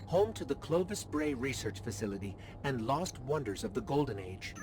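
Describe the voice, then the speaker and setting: A man voicing a measured, expository guide. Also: medium pitch, expressive, over music, lightly acted. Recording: game audio with ambient noise.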